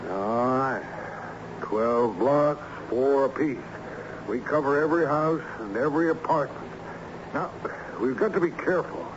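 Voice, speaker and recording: An American actor playing a brisk, scheming lawyer who talks commandingly and slangily in a radio drama. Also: male voice, medium pitch, lightly acted. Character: brisk, scheming male lawyer leading a search. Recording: muffled, noisy old radio drama recording.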